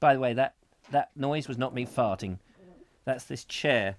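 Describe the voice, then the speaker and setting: A man's voice: mid-range voice, a little slow. A man hosting a live comic chat show from a small studio, rambling jokily from one silly aside to the next. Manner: jokey, digressive, lots of fillers and silly asides. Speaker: host.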